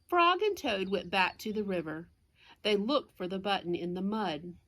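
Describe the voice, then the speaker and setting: A woman's voice: medium pitch, animated, normal speed, a bit bright. A woman reading a children's storybook aloud as a teacher would, with simple explanatory asides for young listeners.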